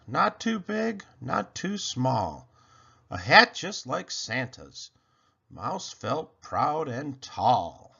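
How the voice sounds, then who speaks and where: Male children's read-aloud narrator, husky and bright, highly animated delivery, slightly dull recording. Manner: theatrical, deliberate phrasing. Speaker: children's storybook reader. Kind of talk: expressive rhyming picture-book read-aloud.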